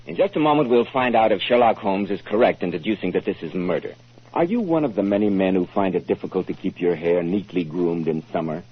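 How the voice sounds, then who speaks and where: A man announcing in the style of a 1940s radio commercial, with a polished sales delivery. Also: husky. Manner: polished sales pitch, rhetorical questions. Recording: muffled lo-fi 1940s radio recording.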